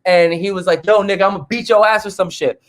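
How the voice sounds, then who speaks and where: Man, high-pitched, casual podcast guest, blunt, swearing freely. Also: fast. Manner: blunt, profane, animated. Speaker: guest musician.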